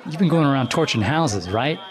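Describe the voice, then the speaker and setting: An actor playing a cocky high school bully in an audio drama, taunting and slangy. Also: male voice, lively intonation, fairly quick, lightly acted. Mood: goading. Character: cocky bullying male high school student, brash, goading. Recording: loud music and crowd behind.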